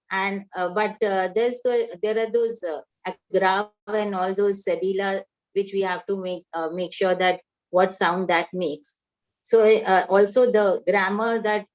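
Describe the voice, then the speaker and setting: A woman's voice: medium pitch, a little mellow, dull audio. A woman, explaining hesitantly in a casual discussion.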